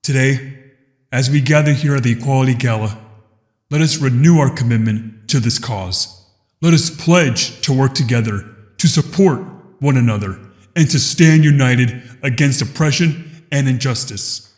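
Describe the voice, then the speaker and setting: A man playing a grave alien leader who delivers a measured memorial speech in a sci-fi audio drama. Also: mid-range voice, a little mellow, close to an everyday voice. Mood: resolute. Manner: measured, grave, oratorical with weighty pauses. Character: grave alien co-chair commemorating his people's tragedies. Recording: long hall reverb.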